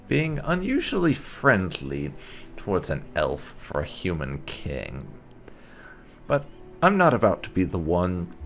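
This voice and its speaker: Brief: male game-roleplay host, wry and opinionated, gravelly low voice, very animated pitch, faint game music behind. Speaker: gaming channel host. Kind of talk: roleplay gameplay commentary.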